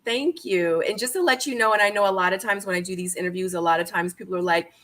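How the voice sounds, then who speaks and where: A woman's voice: medium pitch, fast, a bit bright. A woman in her forties, born in Korea, hosting a casual online interview show, chatty and upbeat, talking straight to her viewers. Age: 40s. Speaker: YouTube interview host.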